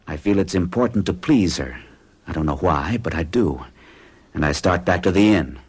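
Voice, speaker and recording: A man under hypnosis recounts what he sees in a low, husky voice. His pitch rises and falls while he talks in a hushed, dreamy way. It is a radio drama. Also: expressive, normal speed. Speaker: narrating lead character. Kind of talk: 1970s radio drama acting.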